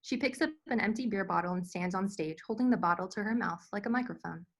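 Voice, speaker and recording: A woman's voice: mid-range voice, fast. A woman reading screenplay stage directions as scripted narration for a table read.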